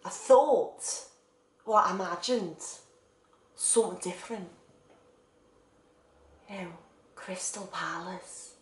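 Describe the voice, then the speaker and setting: A woman's voice: mid-range voice, slow pace, pauses often, a little hiss or hum, close to an everyday voice. An actress playing a lonely, wistful woman confiding in an absent loved one, in a stage monologue broken into short phrases. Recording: echoey room.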